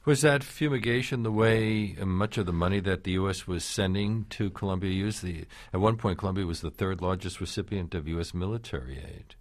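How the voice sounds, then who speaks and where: Calm radio interviewer, a man with a very low voice, dry booth sound. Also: no echo. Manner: calm and inquisitive, slow with pauses.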